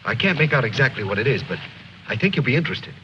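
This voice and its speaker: A dull old film soundtrack with faint noise carries a man acting an earnest soldier in a war drama. His fairly high voice rises and falls a lot, respectful yet insistent. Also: big pitch swings, fast talker, natural voice.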